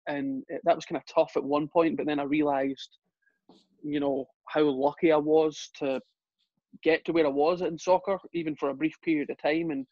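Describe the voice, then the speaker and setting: Young Scottish guest, even delivery, dry lo-fi sound. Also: male voice, on the high side, moderate pace, no room sound. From Scotland. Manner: relaxed, with frequent fillers and restarts. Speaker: guest, soccer coach. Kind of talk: casual interview chat. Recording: dull, lo-fi sound.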